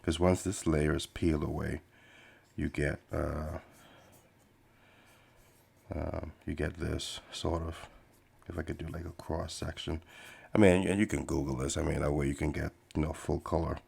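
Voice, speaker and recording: A man's voice: a bass voice, level tone, moderate pace, creaky, long pauses, a little mellow, breathy edge. A man from the Bahamas hosting a podcast, chatting casually and rambling while he draws. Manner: meandering, many fillers and restarts, laid-back. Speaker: podcast host and artist.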